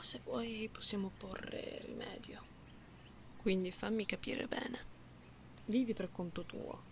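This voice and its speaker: Soft, creaky-voiced cat-girl played by a woman, unhurried. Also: mid-range voice. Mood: gentle, reassuring, then soft, concerned. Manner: slow, soothing, intimate, teasing, with meows and purry hums. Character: gentle, playful genetically modified cat-girl comforting someone lonely. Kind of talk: ASMR roleplay, softly spoken with whispering. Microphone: close binaural microphone. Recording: close binaural recording with faint background noise.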